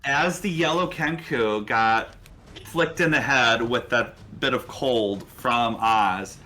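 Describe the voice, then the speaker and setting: Bright-voiced male game master. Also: mid-range voice, takes it slowly, a little hiss or hum. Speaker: game master and show host. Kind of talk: narrating a tabletop fantasy game.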